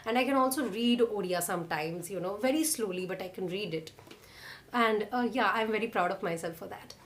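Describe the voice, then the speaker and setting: A woman's voice: medium pitch, fairly quick, a little hiss or hum. A young woman with an Indian accent, telling her own story in a casual, chatty vlog and often using "like" and "you know". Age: young adult. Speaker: vlogger.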